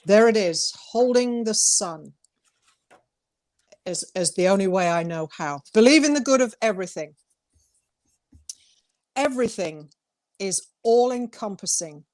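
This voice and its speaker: A woman's voice: mid-range voice, expressive, unhurried, pauses often, a little mellow, no echo. Earnest, emphatic woman talking poetry, dry sound. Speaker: talk show co-host and poet. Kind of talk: heartfelt talk and poetry reading.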